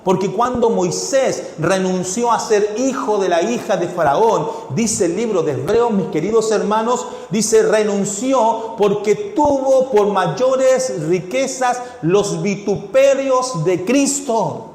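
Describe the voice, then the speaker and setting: A male pastor with a blend of Ecuadorian, Chilean and Mexican Spanish accents, preaching a sermon with rhetorical questions that builds to fervent shouts. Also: high voice, slow, hardly any pauses. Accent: mixed Ecuadorian, Chilean and Mexican Spanish. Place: church hall. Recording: echoey hall with long reverb.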